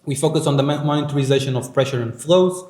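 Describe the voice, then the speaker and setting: A man with a Portuguese accent gives a conference presentation at a middle pitch. He speaks haltingly, full of fillers and restarts. His voice echoes around a lecture hall. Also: a little mellow.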